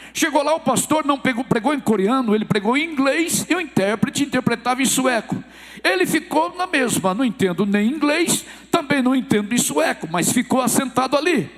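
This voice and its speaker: A Brazilian pastor with a Minas Gerais accent preaches from the pulpit, his voice sitting very high for a man. He tells stories and acts out the people in them, calling out hallelujah between them. The church hall rings with a long reverb behind every line. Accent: Brazilian Portuguese, Minas Gerais. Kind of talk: sermon.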